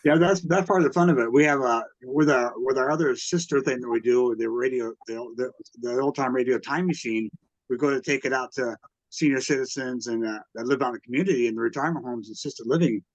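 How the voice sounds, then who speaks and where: A man's voice: medium pitch, fast, dry recording. Male interview guest, conversational and explanatory, tends to ramble; muffled, dry recording. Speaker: museum founder and curator, interview guest. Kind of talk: casual interview talk.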